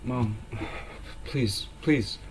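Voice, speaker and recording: An actor playing a frightened fourteen-year-old son in a radio play, hesitant and pleading. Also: male voice, mid-range voice, drawn-out words. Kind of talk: dramatic radio play acting.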